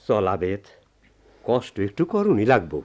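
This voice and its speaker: Young bangladeshi villager, rural accent, practical and urgent, super lively pitch, radio play. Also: male voice, normal speed, lo-fi sound. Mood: cautious, resolved. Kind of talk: radio drama acting.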